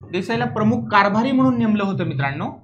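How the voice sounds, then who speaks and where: A man's voice: high voice, animated, quick pace, a bit bright. A man with a Maharashtrian accent explaining exam questions like a classroom coach, repeating key points emphatically and often checking that students follow. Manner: repetitive, emphatic, frequently checking understanding with questions.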